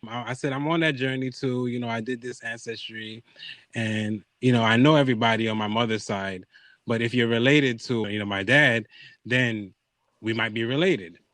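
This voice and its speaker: A man's voice: mid-range voice, fairly even intonation, normal speed, fairly bright tone, no echo. Casual male storyteller, dry close sound. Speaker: podcast host telling a personal story.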